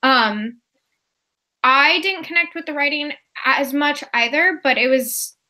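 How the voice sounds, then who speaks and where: High, bright-voiced woman chatting casually. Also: a little slow. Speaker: co-host on a readalong live show. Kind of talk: casual book chat.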